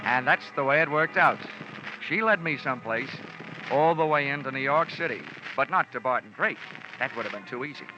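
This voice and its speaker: A man's voice: mid-range voice, animated, fairly quick, natural voice. An American man acting a cynical, wisecracking freelance investigator who narrates his case dryly in an old radio detective drama. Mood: wry. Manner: dry, wisecracking, clipped storytelling. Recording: dull old radio recording with music.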